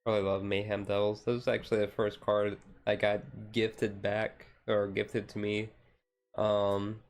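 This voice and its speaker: Low-key, even-toned man chatting on stream. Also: a little slow. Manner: rambling, self-deprecating. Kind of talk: casual live-stream chat while sorting cards.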